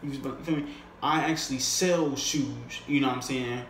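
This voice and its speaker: A man's voice: medium pitch, fairly even intonation, normal speed, fairly bright tone. A man coaching on sales in a casual, unscripted, conversational way, using slang and often checking in with the listener. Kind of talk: casual, unscripted instructional talk. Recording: echoey room with faint noise.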